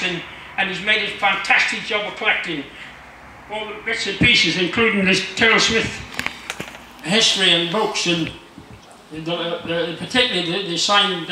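Older man, high-pitched, in echoey tent. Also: bright, forceful tone. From Ireland. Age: 60s or older. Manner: rambling, storytelling. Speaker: club speaker and musician. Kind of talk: informal anecdotal speech to a gathering. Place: inside a marquee at a club rally. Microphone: handheld microphone through PA, captured by distant camera. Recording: echoey, noisy PA recorded from far off.

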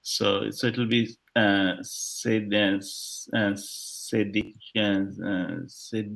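A man's voice: on the low side, level tone, takes it slowly, creaky, a bit bright. A man taking a language lesson online, hesitant and thinking aloud with many fillers as he works through exercises. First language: not English. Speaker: language student.

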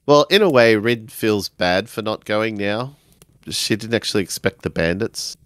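A man narrating his character's actions in a tabletop role-playing game, halting as he searches for words. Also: fairly low pitch, lively intonation, dry recording.

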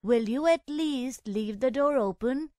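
Scared little schoolboy begging, high and slow, a man putting it on. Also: a little mellow, no echo, clean studio sound. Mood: pleading. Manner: pleading, anxious. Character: frightened pleading young schoolboy.

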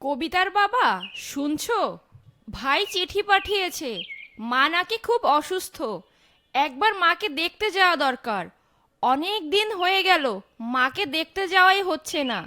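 A woman voicing a caring village wife and mother in a fairytale cartoon, polite and expressive. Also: extremely high pitch, a little slow, a little hiss or hum, full character voice. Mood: concerned.

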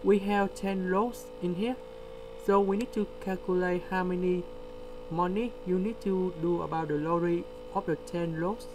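A man with a delivery, explaining a word problem step by step in a screen-recorded lesson. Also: high-pitched. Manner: slow, step-by-step explaining with pauses. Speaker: tutor. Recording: steady electrical hum behind.

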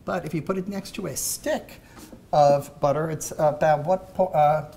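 A man hosting a kids' cooking show in a home kitchen, talking in a halting way with many "uh" fillers. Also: a little high, creaky, warm tone, a little hiss or hum. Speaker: host.

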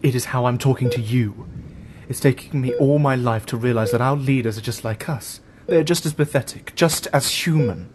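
A man's voice: mid-range voice, normal speed, lightly acted. An actor in an audio drama playing a frightened but defiant captive man, earnest and distressed.

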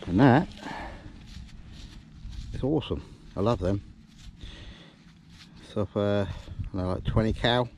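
Casting: middle-aged male, informal and matey, off-the-cuff outdoor vlog commentary, clearly noisy action-camera sound. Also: lowish voice, slow, long pauses, a little mellow. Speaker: metal detecting vlogger. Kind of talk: casual vlog chat and commentary. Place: open field outdoors.

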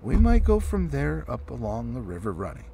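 A man's voice: medium pitch, huge pitch swings, moderate pace, vocal fry, mellow. A man voicing a proud, stern male dwarf leader, pompous and impatient, in a storybook reading. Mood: doubtful, grave. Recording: faint background noise.

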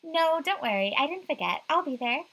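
Woman playing a spirited, raised-pitch young woman. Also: animated, a little fast, fairly bright tone, poor audio. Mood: upbeat. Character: spirited young woman estate agent, easily riled. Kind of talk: visual novel character voicing.

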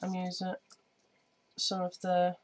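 A woman with a slightly croaky voice, chatting casually through a scrapbooking tutorial with lots of ums while she works at a craft table. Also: on the low side, completely flat delivery, slow pace, darkish tone. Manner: chatty, many ums, hands busy while talking. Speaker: scrapbooking vlogger. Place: indoor home craft table. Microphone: overhead camera on desk, speaker off screen.

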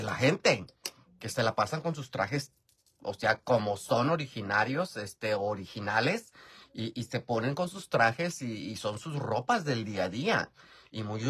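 Husky Mexican man, chatty storyteller. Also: fairly low pitch, a bit bright. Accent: Mexican, from Michoacán. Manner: chatty, rambling, frequent fillers, swears casually. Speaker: drag performer vlogger. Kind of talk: casual storytelling while doing makeup. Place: bedroom at home, indoors. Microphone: phone camera close up.

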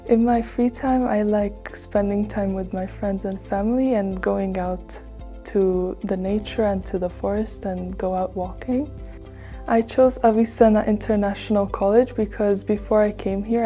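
A woman's voice: medium pitch, warm tone. A teenage girl, born in Hungary, giving an earnest, chatty self-introduction as a student on a lapel mic in a studio. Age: teens. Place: studio set with flags.

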